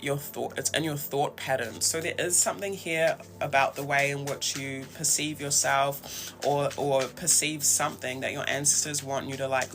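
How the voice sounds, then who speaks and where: A man with a New Zealand accent giving a casual, conversational tarot reading in a reflective, halting way. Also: medium pitch. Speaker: tarot reader and host.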